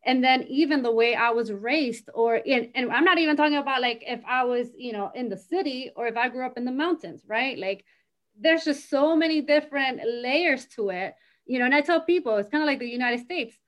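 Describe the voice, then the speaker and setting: A woman's voice: high voice, a little fast, highs cut off. Chatty woman, fillers and "right?". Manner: talkative, peppers speech with "you know" and "right?". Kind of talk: casual conversational interview.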